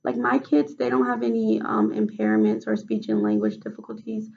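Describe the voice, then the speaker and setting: Woman, raspy, heavily gravelly, fairly high, hesitant, lo-fi recording. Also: big pitch swings, normal speed, darkish tone. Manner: hesitant, many fillers and restarts. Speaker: mock patient in a role-play case study. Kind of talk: role-played clinical interview.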